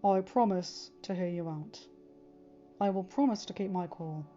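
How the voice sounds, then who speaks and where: A woman voicing a protective fatherly man, measured and restrained, in a story reading. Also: fairly low pitch, dark tone, highs cut off, soft background music, close to an everyday voice. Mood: careful.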